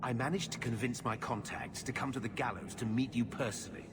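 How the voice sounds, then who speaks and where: An actor playing a shady, middle-aged uncle in a video game, blunt and world-weary. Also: male voice, mid-range voice, steady tone, fairly quick, heavy background noise, lightly acted. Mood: grudging, persuasive. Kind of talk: video game cutscene acting.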